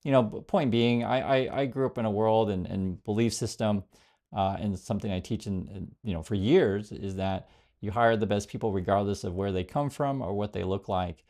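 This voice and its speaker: A man, born in Vietnam, talks straight to his viewers in a loose, rambling way, full of fillers and restarts. His voice sits a little low and has a gravelly, fry-tinged edge. Now and then he slows down to read viewer comments aloud. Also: a little mellow. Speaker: political commentary host. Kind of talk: casual direct-to-camera commentary.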